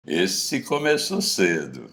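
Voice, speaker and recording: A man playing very deep, blunt drinking buddy. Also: very animated, takes it slowly, a bit bright, exaggerated voice. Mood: wry. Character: blunt middle-aged man, ringleader of drinking friends. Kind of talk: radio drama acting.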